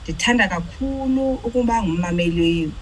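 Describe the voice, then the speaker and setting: A woman giving a warm, smiling listener testimonial for a radio station. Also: mid-range voice, lively intonation, moderate pace, a little hiss or hum, poor audio. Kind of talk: read promo.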